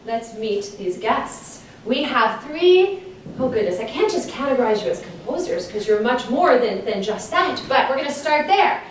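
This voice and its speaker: A woman hosting a live interview in a gushing, animated manner. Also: fairly high pitch, normal speed. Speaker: host. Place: large echoey room with guests on a couch. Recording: echoey room, distant mic, faint noise.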